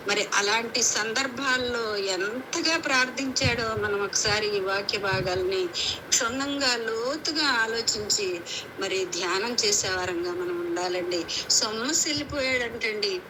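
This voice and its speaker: A woman preaches a devotional sermon, exhorting earnestly, reading scripture and repeating phrases for emphasis. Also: fairly high pitch. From India. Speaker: preacher at a prayer meeting. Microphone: phone on a conference call. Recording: noisy conference call audio.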